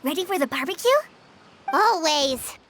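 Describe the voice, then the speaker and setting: Very high, squeaky childlike fairy. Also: female voice, expressive, moderate pace, fairly bright tone. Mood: excited, hungry. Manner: refers to herself in the third person, exclamatory. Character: tiny childlike floating fairy companion, cheerful, excitable, gluttonous. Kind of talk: anime game voice acting.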